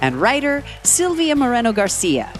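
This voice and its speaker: Playful woman announcer with a lively, slightly scratchy voice over faint music. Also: a little high, normal speed. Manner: playful, laughs easily. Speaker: radio show announcer. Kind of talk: casual banter and announcing.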